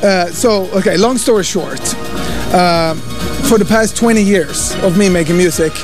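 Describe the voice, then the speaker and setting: A man's voice: sits high, moderate pace. A man, a DJ being interviewed, talking casually with many fillers and restarts. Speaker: DJ guest. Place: festival radio booth. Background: music.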